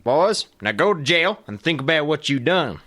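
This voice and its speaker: A man's voice: a little high, very animated, normal speed, bright, forceful tone, heavily acted. A man playing a folksy, exasperated old west lawman keeping order in a comedic radio play.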